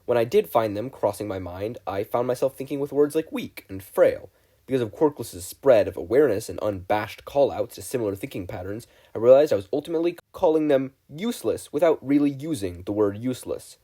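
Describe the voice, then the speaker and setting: Man playing an earnest, dramatic teenage boy, lively intonation. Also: medium pitch, fairly quick, natural voice.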